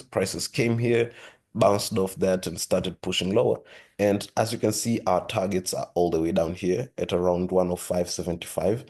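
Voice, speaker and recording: A man hosting a trading lesson, talking steadily through charts with a hoarse voice and frequent throat-clearing. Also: lowish voice. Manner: steady explanatory, repeats "with that being said". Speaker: forex trading channel host. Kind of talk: screen-share trading lesson.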